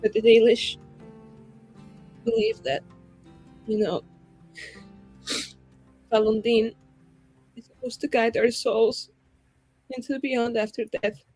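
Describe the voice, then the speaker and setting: A woman playing a grieving, tender fantasy adventurer in a tabletop game, speaking a halting, gentle farewell. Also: fairly high pitch, lively intonation, slightly rough, soft background music, pitched above the performer's own voice, heavily acted, poor audio. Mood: solemn, tender. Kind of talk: in-character tabletop roleplay.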